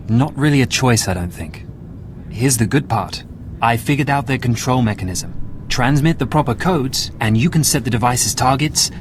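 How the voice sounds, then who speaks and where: An actor playing a dutiful, earnest intelligence analyst in a video game, speaking in a businesslike way. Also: male voice, medium pitch, background noise, close to an everyday voice.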